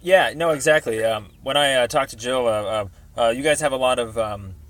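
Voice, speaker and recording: A man's voice: mid-range voice, fairly bright tone, no room sound. An American man in a casual phone conversation as a caller, rambling and hesitant, with many ums. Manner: hesitant, rambling, halting delivery thick with ums. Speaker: member of the public calling a city department.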